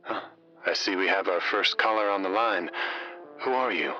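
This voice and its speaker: An actor playing a folksy, mysterious late-night radio host, speaking in a deliberate, intimate, conspiratorial manner with a husky voice. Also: male voice, bright, forceful tone, lo-fi sound, faint music behind. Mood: folksy, eerie. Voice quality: husky, breathy, deep.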